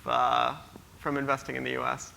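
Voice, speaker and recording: Man, panel moderator, gravelly, bright tone, fillers and restarts, conference hall. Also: medium pitch, monotone, normal speed, a little hiss or hum. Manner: hesitant, many fillers and restarts. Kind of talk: casual panel answers.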